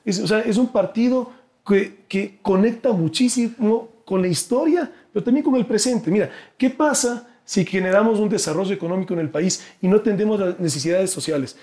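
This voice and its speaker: A man's voice: sits high, fairly quick, dark tone. A man from Ecuador with an Ecuadorian accent, speaking as a political candidate in an interview, earnest and emphatic as he makes his case. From Guayaquil, Ecuador. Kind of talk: persuasive political interview talk.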